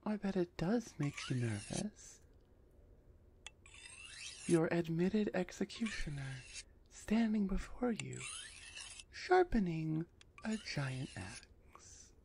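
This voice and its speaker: Woman voicing a creaky, low-voiced minotaur executioner. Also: warm tone, slight background noise, heavily acted. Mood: menacing, smug. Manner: deliberate, dismissive, taunting. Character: big tsundere minotaur woman executioner, stern, proud, haughty. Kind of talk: roleplay audio drama, ASMR.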